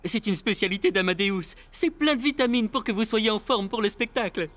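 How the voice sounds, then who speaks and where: A man's voice: sits high, a little fast, a bit bright. A man voicing an attentive, doting father in a French cartoon. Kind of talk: French animated series acting.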